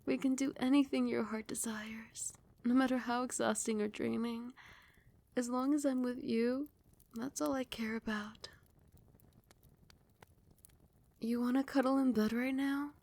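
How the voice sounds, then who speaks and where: A woman acts out a doting vampire queen for an ASMR roleplay. Her voice sits fairly high for a woman, yet it is breathy and laced with creaky fry. She speaks intimately and soothingly, often close to a whisper, like a protective lover. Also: fairly even intonation, normal speed, darkish tone. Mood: tender, devoted. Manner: doting, soothing, affectionate pet names.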